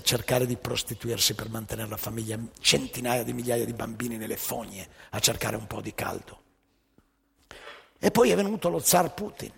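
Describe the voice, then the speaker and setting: A very husky man performs a theatrical monologue in a reverberant hall with music behind him. He speaks slowly and rhetorically, setting out short, emphatic phrases like a stage storyteller. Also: mid-range voice, a bit bright.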